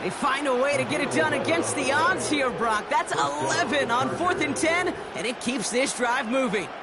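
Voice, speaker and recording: A man calling football play-by-play with excited, urgent delivery. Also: extremely high pitch, normal speed. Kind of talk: sports commentary. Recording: loud stadium crowd noise. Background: roaring stadium crowd.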